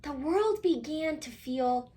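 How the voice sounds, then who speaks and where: Woman, high and very lively voice, expressive children's story reader who leaves dramatic pauses. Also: a little hiss or hum. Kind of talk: expressive picture-book read-aloud for children.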